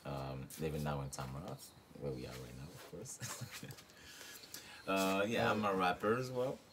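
A young man from Morocco, a guest rapper, talking casually in an interview with many fillers and soft laughs, in a small room recorded on a close phone camera. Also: low voice, fairly even intonation, normal speed, noisy background. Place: small room with reed-panel wall.

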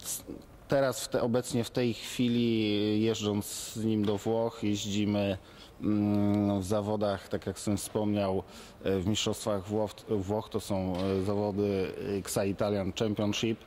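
A man answering interview questions in a halting way, pausing often on hesitation sounds. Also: lowish voice, level tone, a little mellow, a little hiss or hum.

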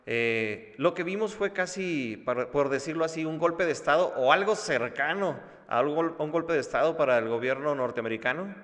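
A man's voice: fairly high pitch, expressive, normal speed, hardly any pauses, a bit bright. A man, hosting a news interview at a desk microphone. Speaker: interview show host. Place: indoor studio room. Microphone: desk microphone on a stand. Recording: long hall-like reverb.

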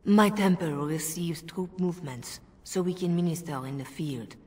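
Revered mother played by a woman, very low soft voice, solemn and persuasive game dialogue in a reverberant hall. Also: lightly acted. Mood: regretful, frank. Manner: measured, solemn. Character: composed, earnest Orlesian revered mother, gently persuasive. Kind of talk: video game cutscene acting. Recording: long reverberant hall echo.

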